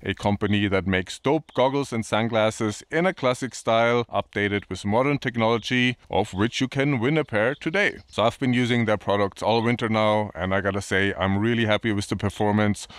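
A man in his thirties talks to the camera on a snowy mountainside in a chatty, upbeat way. His voice sits low and sounds smooth and full. The sound is dry and close. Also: normal speed, hardly any pauses, no echo. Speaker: ski vlogger. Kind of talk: casual presenting to camera. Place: outdoors on snowy mountain slopes.

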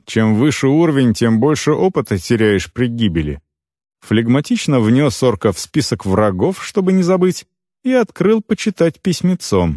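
A man reading a first-person fantasy novel aloud as an audiobook narrator, with expressive storytelling. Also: fairly high pitch, no room sound, clean studio sound.

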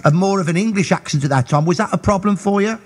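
A male radio presenter interviewing a guest in the studio, brisk and friendly in his questions. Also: high-pitched.

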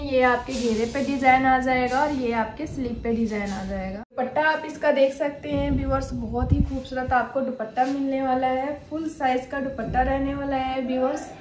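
Woman, high voice, shop sales presenter, promotional patter, echoey room, faint background noise. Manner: steady promotional patter addressing viewers. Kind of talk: sales pitch showing suits.

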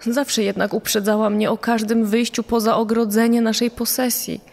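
A woman's voice: fairly high pitch, flat delivery, moderate pace, gravelly, darkish tone. A woman voicing the mother of a missing young girl, giving read testimony for a true crime podcast. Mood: recounting.

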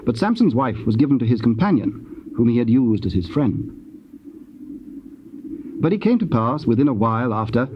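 A man giving a solemn, measured scripted narration of scripture. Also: medium pitch, sing-song. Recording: muffled, noisy old cassette transfer.

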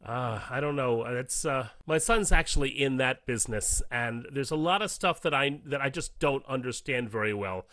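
A middle-aged man answering as an interview guest in a halting, thoughtful way. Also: medium pitch, bright, forceful tone. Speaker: guest, writer. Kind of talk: casual chat.

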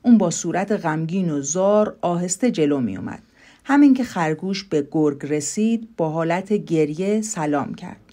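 A woman with a slightly low voice narrates a fairy tale as a storyteller. Her delivery is scripted, measured and expressive.

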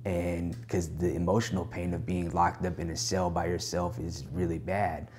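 A young man with a very deep, flat voice, halting and searching for words. He sits in a small prison office, close-miked with faint hiss behind. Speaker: incarcerated interviewee.

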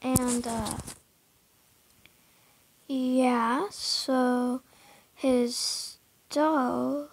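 A woman's voice: high-pitched, creaky, darkish tone, breathy edge, dry recording. A young woman casually talking through her horse barn in a game, hesitant, full of fillers and often dropping into a whisper.

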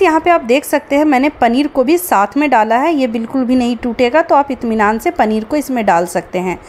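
A woman narrating a recipe step by step, explaining each stage to viewers as a cooking host. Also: high-pitched. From India. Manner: explanatory, addresses viewers as friends.

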